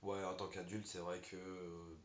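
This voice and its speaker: A man's voice: low voice, level tone, measured pace. A man co-hosting a casual film review vlog, speaking laconically in short, unscripted phrases. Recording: echoey room, dull muffled sound, faint noise.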